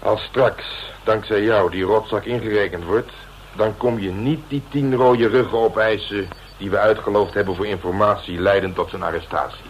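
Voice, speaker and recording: An actor as a gruff veteran police detective, muffled radio play. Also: male voice, fairly low pitch, fairly even intonation, moderate pace, close to an everyday voice. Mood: bargaining, stern. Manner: slangy, blunt, domineering. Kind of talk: Dutch radio drama acting, colloquial dialogue. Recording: dull, noisy old radio recording.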